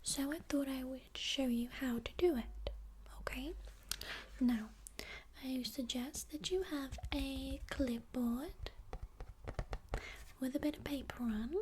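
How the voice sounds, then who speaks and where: A woman with a high voice speaks slowly and gently in an ASMR role-play, sliding between soft speech and breathy whispers. She keeps checking in with a calm "okay?", and a faint hiss sits under the recording. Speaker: ASMR creator.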